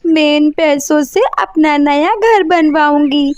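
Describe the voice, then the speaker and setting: A woman's voice: sits high, takes it slowly, darkish tone, no room sound. A woman voicing a distraught, accusing female crow in a cartoon, wailing, pleading and threatening.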